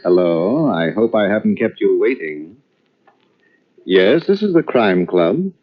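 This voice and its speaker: An actor playing a welcoming librarian who hosts a crime radio show and introduces its story. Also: male voice, on the low side, sing-song, measured pace. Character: genial male librarian host of a crime club. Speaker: host. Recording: muffled old radio broadcast with faint hiss.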